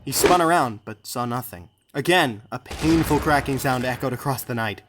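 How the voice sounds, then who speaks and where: A man's voice: mid-range voice, moderate pace, noisy background. A man narrating an adventure audiobook with dramatic scripted delivery. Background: clear background music.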